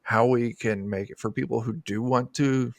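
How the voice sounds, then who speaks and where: A man with a creaky, gravelly voice explains things casually as an interview guest. The sound is close and dry, and his delivery is informal. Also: medium pitch, steady tone, moderate pace, no echo. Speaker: game designer guest.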